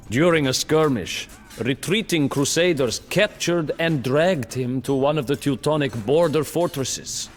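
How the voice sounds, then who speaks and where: A man playing a grave, wry captive chronicler, narrating history in a measured, storytelling way for a game cutscene. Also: medium pitch. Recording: long reverb under music. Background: music.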